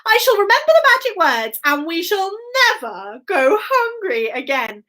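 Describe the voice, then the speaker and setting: A woman's voice: very high voice, very animated, moderate pace, bright, forceful tone, pitched above the performer's own voice, exaggerated voice. A woman voicing a hungry, wide-eyed young girl in a children's fairy tale, plaintive and exclaiming. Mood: grateful, relieved. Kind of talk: children's storytelling character voice.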